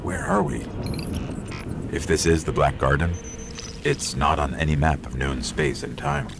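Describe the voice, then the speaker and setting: A man's voice: a bass voice, huge pitch swings, measured pace, vocal fry, heavy background noise, full character voice. A man playing a small robot companion in a video game, wry and anxious, with an understated delivery. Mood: uneasy wonder. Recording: music behind the voice.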